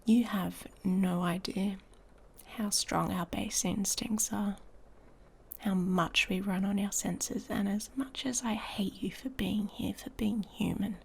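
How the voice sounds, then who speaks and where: A woman playing an irritated, haughty female werewolf in an intimate ASMR roleplay, breathy and often whispering, taunting and seductive. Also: medium pitch, steady tone, a little mellow, full character voice. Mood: strained, hungry.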